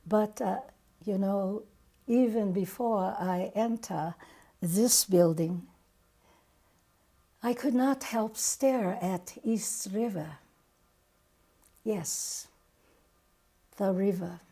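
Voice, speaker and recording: Woman acting a slow, haunted Japanese survivor. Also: medium pitch, dark tone. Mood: grave, halting. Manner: halting, broken English with dropped articles. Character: grave, haunted older Japanese woman, atomic bomb survivor. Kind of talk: dramatic monologue reading.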